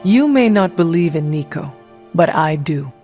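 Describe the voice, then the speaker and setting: An actor playing an earnest, stoic superhero companion in a video game, speaking formally and in a measured way. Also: male voice, fairly high pitch, expressive, lightly acted. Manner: formal, measured, without contractions. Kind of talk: video game character dialogue. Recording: tinny band-limited sound, music behind. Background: game music.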